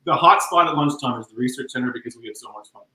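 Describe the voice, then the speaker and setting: Jokey self-deprecating male guest, bright voice, roomy call audio. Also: medium pitch, quick pace. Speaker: guest, crew member. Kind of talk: casual chat.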